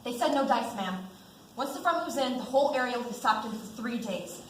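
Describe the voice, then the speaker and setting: A woman's voice: fairly high pitch, animated, fairly quick, a bit bright. A young woman acting in a student stage drama as a dutiful, pragmatic army lieutenant, formal and earnest. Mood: brisk. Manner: formal, earnest military delivery. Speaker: student actor. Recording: echoey stage with background noise.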